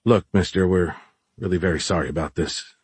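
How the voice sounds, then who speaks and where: A man's voice: low voice, fairly quick, darkish tone, slightly rough, dry recording. A rattled, foul-mouthed man on the run, acted out by a male narrator. He sputters and frets in a flustered way, captured clean and dry like an audiobook. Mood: nervous pleading.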